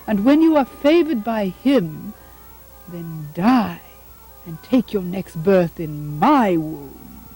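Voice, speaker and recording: Puppet-show villain: cruel, condescending queen, measured and theatrical, dark mellow tone female performer. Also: medium pitch, big pitch swings, husky, faint music behind, exaggerated voice, poor audio. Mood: scornful. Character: haughty, cruel stepmother queen. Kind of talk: puppet show dramatic acting.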